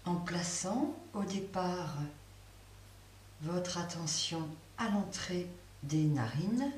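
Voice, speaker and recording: Woman yoga teacher, low-ish voice, very slow guided relaxation, distant roomy recording with faint hiss. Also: expressive. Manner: slow, soft, pausing guidance.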